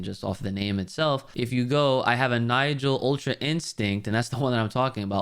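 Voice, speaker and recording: Clear-voiced man giving a casual tutorial. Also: medium pitch. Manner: conversational, explanatory, talks directly to viewers. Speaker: tutorial host. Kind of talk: casual software tutorial talk. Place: voice-over on a screen recording of a game.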